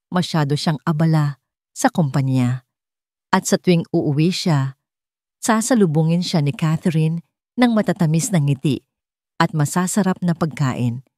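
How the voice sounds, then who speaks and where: A woman's voice: low voice, darkish tone, dry recording, studio quality. A woman with a Filipino accent reading dramatic scripted narration as a storyteller.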